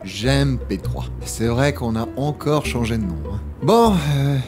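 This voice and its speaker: A man's voice: mid-range voice, unhurried, faint music behind, natural voice. A man playing an exasperated, grumbling start-up employee in a comedic audio drama, hesitating and stammering as he goes. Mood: flustered.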